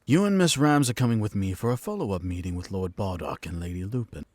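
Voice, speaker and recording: A man's voice: lowish voice, lively intonation, fast talker, a little mellow, dry recording, studio quality. A man acting an authoritative knight commander in a fantasy audio drama, formal and clipped in his orders. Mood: stern, formal.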